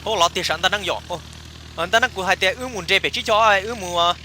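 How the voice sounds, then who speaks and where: A man's voice: sits high, a little fast, pushed and bright, a little hiss or hum. A man performing casual, chatty comic dialogue for a cartoon.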